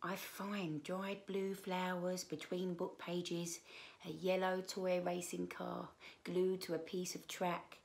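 A woman in her thirties reading aloud to children as a storyteller, expressive, with drawn-out emphasis on key words. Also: on the low side, creaky. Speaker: children's book read-aloud presenter. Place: small living room, indoors.

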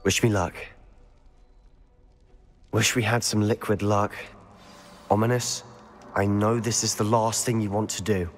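Determined young British schoolboy wizard, echoing hall. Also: male voice, on the low side, level tone, unhurried, long pauses. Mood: measured resolve. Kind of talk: video game dialogue acting. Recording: echoing stone hall, game music underneath.

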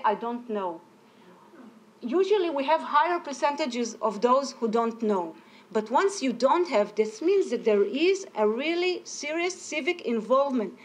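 A middle-aged woman giving an academic lecture as a keynote speaker, explaining and often searching for words. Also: sits high. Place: indoor lecture room at a podium.

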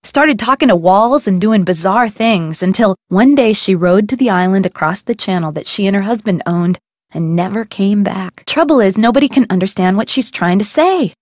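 A woman's voice: medium pitch, expressive, normal speed, dry recording, clean studio sound, natural voice. An actress voicing a chatty, opinionated woman who runs a small-town cafe in a game, talking in a folksy, gossipy way. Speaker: game character. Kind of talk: video game voice acting.

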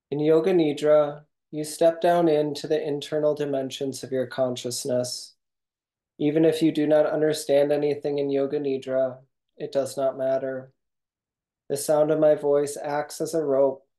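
A yoga nidra instructor reading a guided meditation script in a calm, measured, instructional way. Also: medium pitch.

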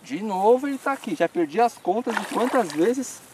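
A man's voice: high voice, expressive, moderate pace, noisy background. A man in his thirties hosting a fishing show, narrating and explaining as he fights a fish from a pond bank, now and then coaxing it. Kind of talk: live commentary while fishing. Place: outdoors at a rural pond bank. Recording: outdoor rural ambience.

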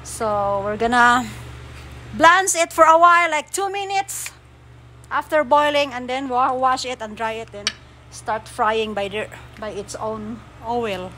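A woman's voice: fairly high pitch, unhurried, sharp tone. A woman in her forties from the Philippines with a Filipino accent, chatting casually in a cooking vlog as she explains each step. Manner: chatty, explaining steps in simple English. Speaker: vlogger. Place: home kitchen and backyard grill. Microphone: phone camera held close. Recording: noisy phone recording with sizzling. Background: frying and sizzling.

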